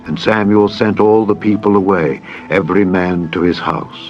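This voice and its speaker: A man's voice: low-pitched, fairly even intonation, normal speed. A man narrating a dramatized scripture audiobook in a solemn, formal, declamatory way. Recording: dull band-limited audio with music. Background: background music.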